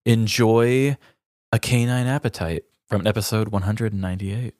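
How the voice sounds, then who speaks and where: A man's voice: on the low side, flat delivery, normal speed, darkish tone, no echo, clean studio sound. A man podcast host reading a scripted, wry comedic true-crime story. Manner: wry, crude descriptive humor. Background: background music.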